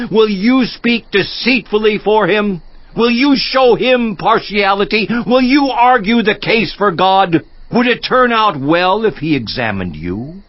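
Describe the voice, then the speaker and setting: An actor playing an anguished righteous man protesting his innocence, in a declamatory, impassioned dramatized scripture monologue that drops to hushed intensity. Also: a little high, highs cut off, exaggerated voice. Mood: accusing.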